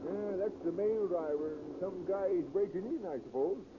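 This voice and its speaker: Male actor as sensible deputy, insistent, folksy, high pitch, dull noisy 1930s radio drama recording. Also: moderate pace, close to an everyday voice.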